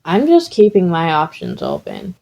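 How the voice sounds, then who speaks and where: A woman voicing a calm, unflappable teenage boy in a story reading, deadpan and nonchalant. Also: on the low side, moderate pace, gravelly, lo-fi sound, full character voice.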